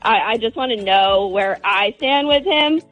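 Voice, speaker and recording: A woman's voice: on the high side, unhurried, a bit bright, dry recording, soft background music, poor audio. A woman calling a radio show, talking casually and candidly in a hesitant, wordy way. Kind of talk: casual, candid relationship talk.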